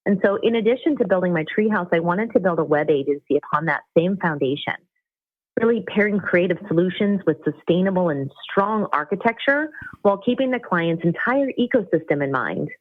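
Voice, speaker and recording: A woman's voice: lowish voice, animated, brisk, no echo. A woman from Costa Rica who runs a web agency, explaining her business steadily in an interview. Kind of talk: interview answers. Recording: tinny phone-like line, dry.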